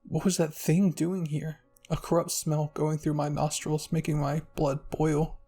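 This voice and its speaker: A man's voice: on the high side, level tone, unhurried, dark tone, slightly rough. A man reading a fan fiction story aloud as a narrated ASMR roleplay.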